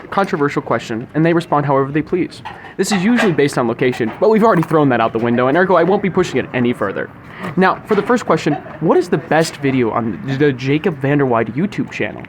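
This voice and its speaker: A man's voice: fairly high pitch, lively intonation, fast talker. A man hosting a podcast in a wordy, mock-formal comedic style. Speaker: podcast host. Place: local restaurant. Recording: faint background noise of public place.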